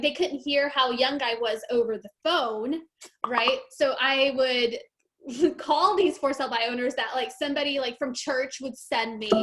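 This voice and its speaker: Woman host, high bright-pitched voice, chatty, enthusiastic, lots of "like". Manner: enthusiastic, chatty, says like a lot. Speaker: interview host. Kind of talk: casual interview.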